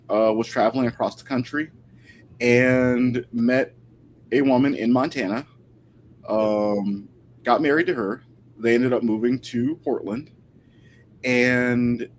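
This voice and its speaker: Informal male historian, some background noise. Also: medium pitch. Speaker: historian, interview guest. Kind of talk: casual interview talk.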